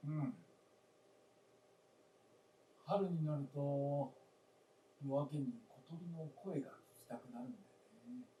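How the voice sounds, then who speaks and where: An actor playing a stubborn, weary old father in a radio drama, speaking gruffly with resignation. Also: a little high, moderate pace, warm tone, distant mic, slight background noise, natural voice. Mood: subdued. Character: stubborn, weary old father living alone, hard of hearing. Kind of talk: live radio drama acting. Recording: roomy stage recording from afar.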